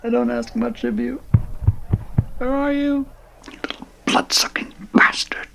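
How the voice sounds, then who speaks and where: An actor playing an exasperated stage announcer, muttering and hissing in a seething, creaky half-whisper. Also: male voice, very high voice, little pitch movement, measured pace, sharp tone, exaggerated voice.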